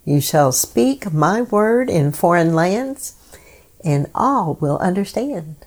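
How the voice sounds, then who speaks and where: A woman hosting a devotional program, reading scripted meditations in a solemn, measured way. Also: fairly low pitch, expressive, a little mellow.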